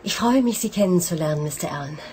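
An actress in a 1980s German radio drama playing a tycoon's self-assured, enthusiastic daughter, poised and admiring. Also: female voice, lowish voice, animated, fast, a little mellow, slight background noise, close to an everyday voice.

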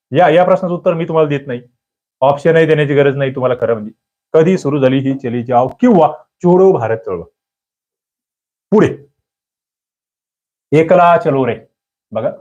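Casting Male exam-prep teacher, somewhat high voice, quizzing students, muffled lo-fi audio. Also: a little mellow. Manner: quizzing, demanding quick answers. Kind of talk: quiz-style lecture. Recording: muffled low-fidelity recording.

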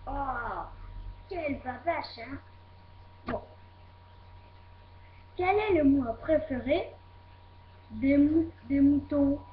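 Childlike female puppet host, unhurried and hesitant, echoey recording with faint noise. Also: high-pitched. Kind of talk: playful joke-telling to camera. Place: indoor room with desk, webcam. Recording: muffled, echoey, noisy webcam recording.

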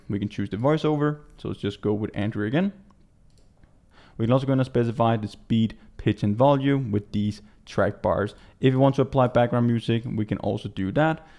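A man in his twenties from Denmark with a Danish accent, giving a casual software walkthrough as a native Danish speaker. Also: mid-range voice. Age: 20s. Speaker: tutorial presenter. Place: small indoor room.

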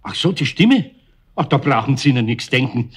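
A man's voice: medium pitch, sing-song, normal speed, husky. A man playing a good-natured, bemused older master craftsman with a Bavarian accent in a children's radio play. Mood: puzzled, amused.

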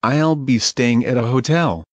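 A man reading English travel phrases one by one in a measured, plain way for learners. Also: medium pitch, no room sound. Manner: measured, plain, each phrase read alone. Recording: dull, slightly muffled dry audio.

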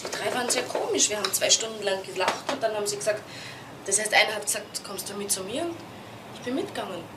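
An actress playing a carefree, defiant young hairdresser in a radio crime drama, heard a bit off-mic. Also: female voice, mid-range voice, quick pace, lightly acted. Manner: breezy, defiant. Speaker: witness. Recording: distant voice, hissy old radio tape.

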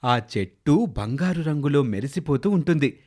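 A man's voice: on the high side, expressive, moderate pace, darkish tone. A man narrating a scripted children's moral story.

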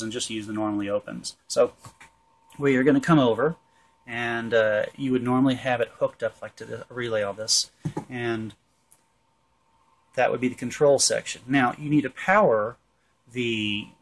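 A man in his forties gives an informal hands-on technical explanation, thinking aloud haltingly, recorded on a camera in an indoor workshop. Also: mid-range voice, rough recording. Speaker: HVAC tutorial presenter. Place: indoor workshop with metal shelving.

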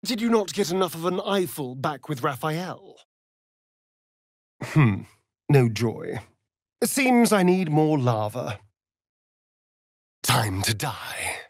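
Sly, ancient male vampire for a game: theatrical, flirtatious, slow drawl, very animated pitch, dry close recording. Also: dry recording, exaggerated voice. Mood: sardonic, flirtatious. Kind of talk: video game character acting.

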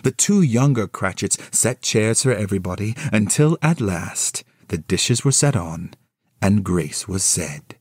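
Animated man narrating a storybook. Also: fairly low pitch, husky, no room sound, clean studio sound. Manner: expressive, dramatic, measured phrasing. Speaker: narrator and solo voice actor.

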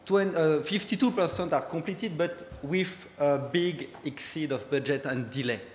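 A man with a strong French accent giving a conference talk in halting English, with many fillers. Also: a little high. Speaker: conference speaker, company head. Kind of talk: conference presentation. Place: large conference hall. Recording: echoey hall with long reverb.

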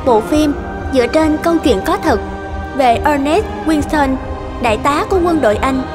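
A woman's voice: high voice, lively intonation, moderate pace, over music. A woman narrator reading a scripted story.

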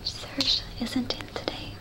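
A young woman playing a patient robot repair technician in a soothing, soft-spoken ASMR roleplay. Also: lightly acted, low-quality recording. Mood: gentle, concerned. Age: young adult. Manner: soothing, slow, reassuring. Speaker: ASMR performer. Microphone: close microphone.

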